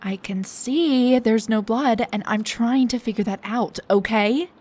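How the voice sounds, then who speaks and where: A young woman playing a snarky, high-strung research assistant in an intimate audio roleplay, with a halting, stammering, dramatic delivery. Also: darkish tone. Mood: alarmed, indignant. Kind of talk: intimate one-sided audio roleplay acting.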